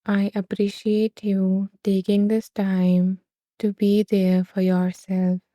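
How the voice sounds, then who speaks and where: A woman leading a guided meditation in a slow, soothing way, with long gentle pauses. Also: medium pitch, level tone, dry recording.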